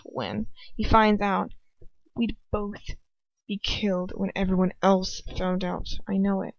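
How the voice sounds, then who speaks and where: A woman reading as a frightened, despairing young woman who argues with herself in a halting, anguished way. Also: mid-range voice, creaky, a little mellow, dry recording. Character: frightened, despairing young woman narrating her own inner turmoil. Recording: dull, muffled lo-fi home recording.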